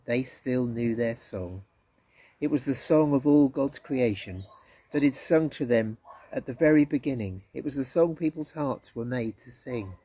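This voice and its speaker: A man reads a children's story aloud with gentle expression. His voice is soft-edged and mellow, sitting in a middle register, with a husky grain to it. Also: mid-range voice, poor audio. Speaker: story reader at a church.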